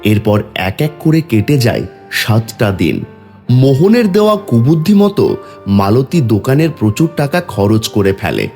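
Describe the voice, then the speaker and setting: A man's voice: medium pitch, sing-song, normal speed, darkish tone. A man narrating a scripted cartoon story as its storyteller. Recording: music behind the voice.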